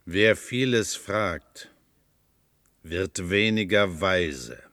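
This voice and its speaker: Volatile male stage clown, radio play, low-pitched, sharp bright tone, cryptic, halting. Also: expressive, no echo, studio quality, heavily acted. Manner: halting, cryptic, prone to outbursts. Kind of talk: German radio drama acting.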